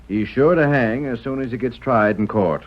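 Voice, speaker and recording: A man playing a gruff, skeptical western sheriff with curt, folksy talk in an old-time radio drama. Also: medium pitch, moderate pace, lightly acted. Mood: dismissive. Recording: dull, noisy vintage radio recording.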